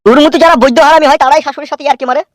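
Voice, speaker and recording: A man's voice: very high voice, fairly even intonation, very fast, dry recording, studio quality. A man voicing a crude, threatening older father-in-law in a cartoon, berating with a processed voice. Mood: angry.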